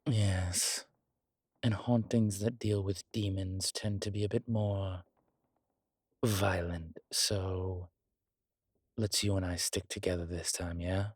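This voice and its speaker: A man playing a long-lived demonology professor in an audio roleplay, calm, protective and gently wry, speaking in a measured, deliberate way. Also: low-pitched, breathy edge, no room sound, full character voice. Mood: protective, steady. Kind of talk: slow intimate audio roleplay acting.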